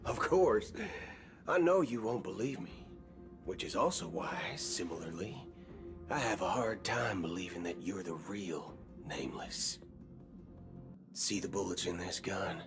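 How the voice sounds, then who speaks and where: An actor playing a swaggering, wry cowboy gunslinger in a video game, talking in folksy slang. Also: male voice, low-pitched, expressive. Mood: sly. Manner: folksy slang, slow drawling delivery. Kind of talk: video game cutscene acting. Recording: game audio with background music.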